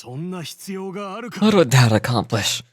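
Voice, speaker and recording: A man voicing a gruff, irritable retired magician who talks in a curt, condescending way. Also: high voice, big pitch swings, extremely slow, dry recording, faint music behind, heavily acted. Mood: stern.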